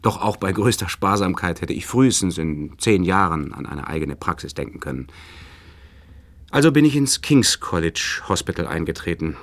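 A nervous doctor of about thirty in a radio play, with a deep voice. He speaks formally and courteously, with some hesitation. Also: lively intonation, moderate pace. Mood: earnest, recounting. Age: 30s.

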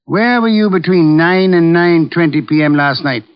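An actor playing a pompous, shrewd, unflappable private detective in a 1940s radio drama, speaking formal, precise English. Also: male voice, a little high, normal speed, clean tone, close to an everyday voice. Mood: probing, formal. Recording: muffled old 1940s radio recording.

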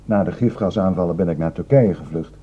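A man's voice: low voice, a little fast, breathy edge, lo-fi sound. An actor playing a weary, despairing Kurdish refugee man in a sombre radio drama. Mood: sombre recounting.

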